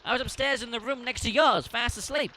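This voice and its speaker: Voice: medium pitch, brisk, sharp tone, a little hiss or hum, close to an everyday voice. A performer playing a defensive, earnest farm heir protesting innocence in a comic audio drama. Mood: insistent. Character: defensive grieving farm heir, soft-hearted, insomniac.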